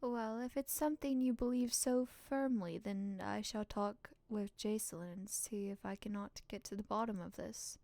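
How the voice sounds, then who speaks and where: A woman voicing a stern, commanding woman of the royal household in a visual novel, formal and measured. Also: medium pitch, steady tone, warm tone, no room sound, natural voice. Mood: measured, reassuring.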